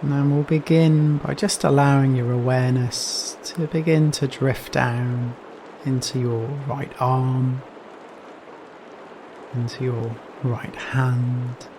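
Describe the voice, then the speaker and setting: A man leading a guided meditation in a gentle, soothing manner, leaving long pauses between phrases. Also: mid-range voice. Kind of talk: guided Yoga Nidra meditation. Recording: steady rain noise behind voice. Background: rain sounds.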